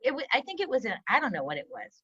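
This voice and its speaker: A woman's voice: on the high side, huge pitch swings, racing, fairly bright tone, slightly rough, no echo, dull audio, full character voice. A woman playing a nagging, oversharing mother in her late sixties who rambles and gossips crudely on a comedy video call. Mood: fussing.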